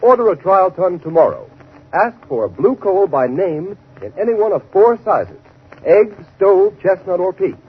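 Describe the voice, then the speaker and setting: A tinny, narrow 1930s radio recording carries an American male announcer reading a commercial. His voice is very rough and swoops widely as he pitches emphatically. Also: sing-song, a little slow. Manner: emphatic, salesmanlike.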